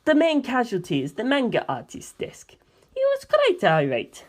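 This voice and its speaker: A woman voicing a composed, dryly evasive male mafia member in a measured, matter-of-fact way for a story reading. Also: fairly high pitch, huge pitch swings, voice pushed up.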